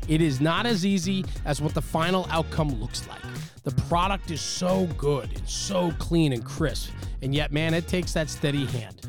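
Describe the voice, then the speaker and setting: A man hosting a fire service podcast, reading sponsor spots in an upbeat, sing-song promotional way. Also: high-pitched. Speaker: podcast host. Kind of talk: sponsor reads and interview.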